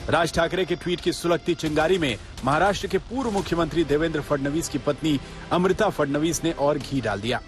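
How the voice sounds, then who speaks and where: A man's voice: fairly high pitch, a little fast. A man narrating a scripted television news report as a voice-over. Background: light background music.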